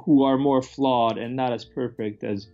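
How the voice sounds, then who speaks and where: A man with a medium-pitched voice co-hosts a casual film discussion. He is blunt and conversational. The recording is a bit dull and lo-fi, with faint music behind him. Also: fairly even intonation, unhurried. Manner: blunt, informal, sweary, conversational. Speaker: podcast co-host.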